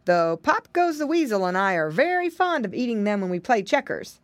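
A woman voicing a kindly, chatty old gentleman rabbit in a storybook, genial and explaining things. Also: medium pitch, big pitch swings, moderate pace, no room sound, voice pushed up, close to an everyday voice.

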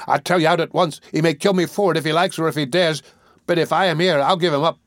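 A man voicing a frantic, fearless young boy in a storybook, shouting excitedly in a raised voice. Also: a little high, fast, no room sound, studio quality, pitched above the performer's own voice, natural voice. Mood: defiant, excited.

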